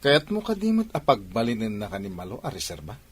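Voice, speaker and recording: A man's voice: lowish voice, huge pitch swings, fast talker, breathy edge, full character voice. A man with an Ilocano accent playing a concerned, scolding older godfather in an audio drama. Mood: disapproving.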